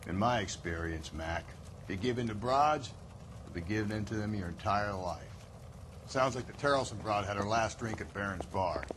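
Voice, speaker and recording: An American actor playing a cynical, slangy old-school police detective in a video game. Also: male voice, fairly low pitch, lively intonation, moderate pace, background noise, natural voice. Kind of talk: video game dramatic acting.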